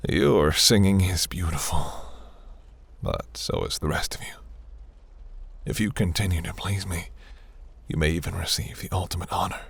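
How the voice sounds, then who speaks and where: A man playing a seductive, imperious demon prince in a fantasy audio roleplay, speaking in a silky, commanding, breathy murmur. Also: moderate pace, long pauses, full character voice. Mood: seductive, proud. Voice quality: low, gravelly, breathy.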